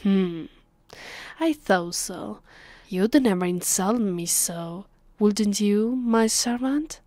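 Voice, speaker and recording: A woman plays an ancient female vampire in an intimate ASMR roleplay, with an Italian accent. She speaks very slowly and softly, slipping into whispers, close to a dry microphone. Her manner is haughty, teasing and condescending, that of a mistress used to giving orders. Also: mid-range voice, lively intonation, dry recording. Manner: condescending, commanding, unhurried. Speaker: voice actress.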